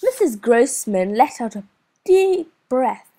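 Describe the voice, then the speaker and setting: Slow, husky woman narrating a storybook. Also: high voice. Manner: slow, expressive reading with lively emphasis. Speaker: audiobook narrator.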